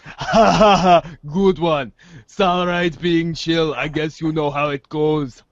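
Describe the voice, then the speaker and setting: A man playing a laid-back, too-cool teenage boy with a slangy, halting, nonchalant drawl in a fan audio drama. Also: a little high, steady tone, no echo. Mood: smug, chill. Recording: close, dull lo-fi sound.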